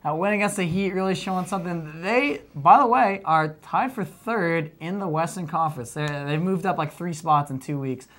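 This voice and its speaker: A man's voice: on the high side, moderate pace, few pauses, fairly bright tone. A young man giving casual sports commentary and banter as a panel analyst. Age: young adult.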